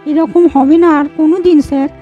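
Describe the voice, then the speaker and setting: A woman voicing a poor, quiet teenage schoolgirl who speaks politely and apologetically in a storybook cartoon. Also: high voice, steady tone, fairly quick, highs cut off, faint music behind, lightly acted. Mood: apologetic.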